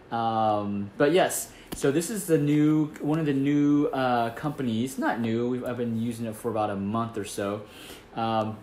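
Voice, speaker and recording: A man's voice: medium pitch, darkish tone, a little hiss or hum. A man from Taiwan who works as an acupuncturist, giving casual, conversational health tips and often checking in with short tag questions. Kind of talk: casual instructional talk to camera.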